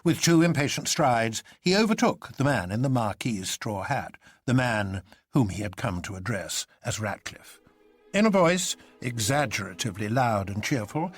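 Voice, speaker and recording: A man narrating literary fiction as an audiobook, with expressive, dramatic and deliberate phrasing. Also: mid-range voice, slightly rough, dry recording, faint music behind.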